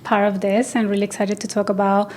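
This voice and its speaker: Venezuelan-born woman, design business owner, hesitant studio interviewee, mid-range pitch. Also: little pitch movement, a little fast, a little mellow. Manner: hesitant, many fillers and restarts. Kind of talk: casual interview answers. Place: radio studio.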